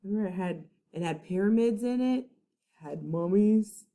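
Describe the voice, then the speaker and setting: A woman's voice: mid-range voice, lively intonation, normal speed, mellow. A woman first-grade teacher reading a children's book aloud, explaining things simply and checking that listeners follow.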